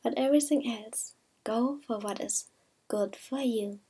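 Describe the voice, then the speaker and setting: Female meditation guide, higher-pitched but mellow, gentle and unhurried, soft to near-whisper. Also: slight background noise. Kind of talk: slow soothing guided meditation.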